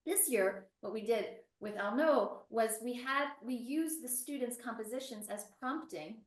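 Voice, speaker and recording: A woman teacher, a guest on a webinar, telling an animated story about a class project with many restarts. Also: on the high side, measured pace. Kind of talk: casual webinar chat. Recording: roomy and distant from the mic.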